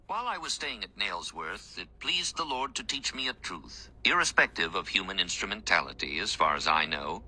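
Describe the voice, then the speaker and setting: A man narrating a scripted first-person devotional text in a measured, formal, earnest manner. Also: deep voice, sing-song, bright, forceful tone.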